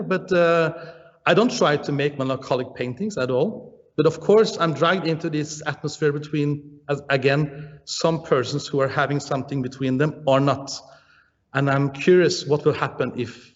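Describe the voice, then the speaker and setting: A man with a Danish accent, a painter answering interview questions in English, pausing often and searching for his words. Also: a little high. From Denmark. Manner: halting, searching for words, frequent "uh" and restarts. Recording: dull, roomy sound with long reverb.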